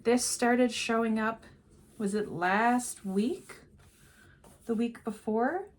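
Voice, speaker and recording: Slow, drifting woman reading cards. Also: fairly high pitch. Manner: slow, meandering, trailing off into quiet asides. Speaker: intuitive card reader. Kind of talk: unscripted spiritual reading to camera.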